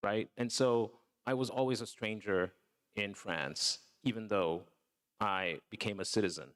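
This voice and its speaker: A man's voice: on the low side, unhurried, fairly bright tone, clear, resonant tone. A man born in Togo, a film producer and guest on a panel, answering reflectively and often adding "you know" and "right". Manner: thoughtful, repeats words when starting, says "you know" and "right".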